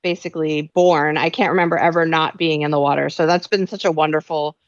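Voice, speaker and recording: A woman with a New York accent recalls her childhood in a lowish voice, wandering through her memories with frequent ums. The sound is dull and lo-fi, with a dry, close feel and no room around it. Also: steady tone, brisk, no echo. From raised in New York. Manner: reflective, meandering storytelling with many fillers. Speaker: author guest. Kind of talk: casual interview talk.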